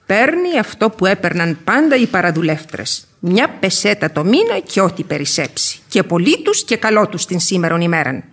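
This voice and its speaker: A chatty husky middle-aged mum, fussy and dramatic, radio play. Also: female voice, medium pitch, very animated, few pauses. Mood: indignant. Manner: theatrical, fussy, garrulous. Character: chatty, complaining middle-aged mother of spinster daughters. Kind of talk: radio theatre acting.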